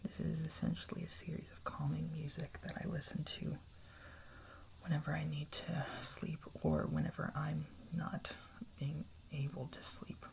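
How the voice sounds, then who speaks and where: A whispering ASMR performer plays a kind camper looking after a stranger. The voice is heavily creaky and very even in pitch, staying hushed and soothing as it reassures and gently checks in. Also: moderate pace. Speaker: ASMR roleplayer playing a caring camper.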